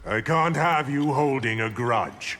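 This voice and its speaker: A man voicing an arrogant, sinister underworld sorcerer in a video game, with a smug, taunting, deliberate delivery. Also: medium pitch, expressive, fairly bright tone. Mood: cunning. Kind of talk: video game villain acting. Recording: game dialogue with music behind.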